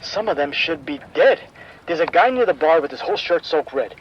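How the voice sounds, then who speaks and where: A shaken club DJ in a radio drama, voiced by a man with a fairly high voice. He stammers and stumbles over his words, and the sound comes through slightly muffled. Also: animated, a little fast, a little hiss or hum, close to an everyday voice. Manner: stammering, panicked. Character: terrified nightclub DJ trapped in his booth.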